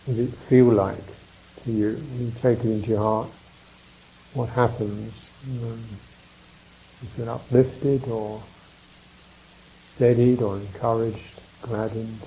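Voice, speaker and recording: Man, meditation teacher, very even low-key pitch, dark relaxed tone, contemplative, pausing often. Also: vocal fry, a little hiss or hum, poor audio. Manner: contemplative, unhurried phrasing with reflective pauses and murmured mms. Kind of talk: guided meditation talk.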